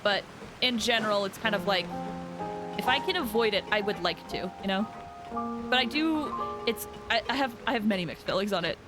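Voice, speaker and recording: A woman's voice: medium pitch, lively intonation, brisk. A woman game streamer chatting casually to her live viewers. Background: game music.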